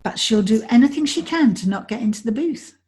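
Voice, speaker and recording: A woman being interviewed as an actor and director, chatting reflectively with a slightly husky voice. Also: a little high, animated, brisk, a little mellow.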